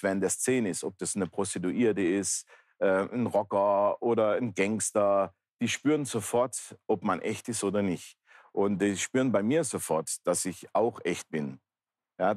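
Male interview guest, fifties, very low register, earnest plain-spoken testimony, dry sound. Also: moderate pace, a little mellow, no room sound. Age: 50s. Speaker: talk show guest, pastor. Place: large indoor bar hall, seated interview.

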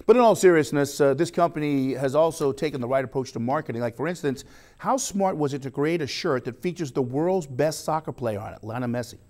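A man's voice: on the high side, brisk. A middle-aged man hosting a sports show from a TV studio, mixing joking asides into his anchoring. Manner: lively, joking anchor delivery.

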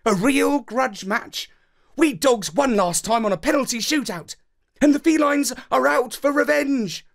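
A man voicing a pretty, chatty young female dog, an excited football fan in a storybook, in a light, high, breezy voice. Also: measured pace, vocal fry, full character voice. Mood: excited, eager.

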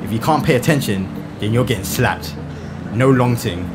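A man playing a cheeky, excitable teenage schoolboy in a motion comic, with a London accent and slangy, animated delivery. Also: medium pitch, moderate pace, very noisy, lightly acted. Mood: chatty, self-deprecating. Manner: animated, colloquial. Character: excitable, cheeky Nigerian-born London schoolboy in his teens.

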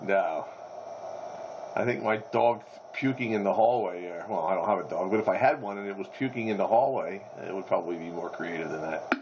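A man's voice: sits low, fairly quick. A man chatting casually and wryly as an operator on a two-way radio. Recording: noisy, muffled two-way radio audio.

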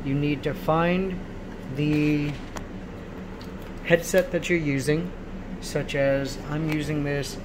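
A man giving a casual, rambling software tutorial, often checking in as he goes, recorded at home on a handheld camera. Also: fairly high pitch. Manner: rambling, checks in with frequent "right?". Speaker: tutorial host. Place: indoors in a room at home. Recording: noisy amateur home recording. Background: clear steady background noise.

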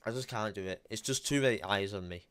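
A man's voice: on the low side, fast, fairly bright tone, no room sound. A young man talking casually on a call from his home gaming room, blunt and emphatic, swearing now and then. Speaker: YouTuber.

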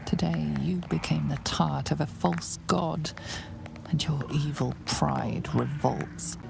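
An actress playing a solemn, ominous narrator of dark urges in a fantasy game, brooding and deliberate. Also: female voice, sits low, steady tone, gravelly, full character voice. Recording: loud ambient noise behind.

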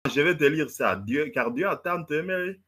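A man's voice: on the high side, animated, moderate pace, pushed and bright. A man debating in French, which is not his first language, stammering and repeating words as he lectures. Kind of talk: heated live debate.

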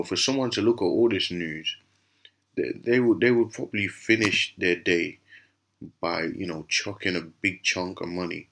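A man with a very low, raspy voice that sounds hoarse from a cough. His tone is bright and forceful despite the gravel, and he rambles through casual commentary with plenty of fillers and restarts. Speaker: crypto channel host. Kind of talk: casual commentary over screen recording.

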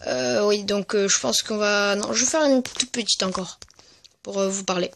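A gaming video creator giving casual, hesitant and rambling commentary over gameplay, speaking in a medium-high voice. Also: expressive, moderate pace, a bit bright, a little hiss or hum. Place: at home, voice-over on mobile gameplay recording.

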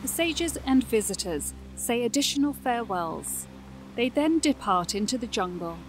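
A woman's voice: fairly high pitch, lively intonation, moderate pace, soft background music. A woman narrating a scripted fantasy audio drama.

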